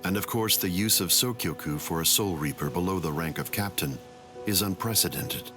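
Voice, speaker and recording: An actor playing a composed, courteous captain in an anime game, speaking in a measured, polite and probing way. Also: male voice, sits low, music in the background, lightly acted. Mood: grave, concerned. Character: composed, courteous, mild-mannered male captain. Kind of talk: English-dubbed anime game dialogue.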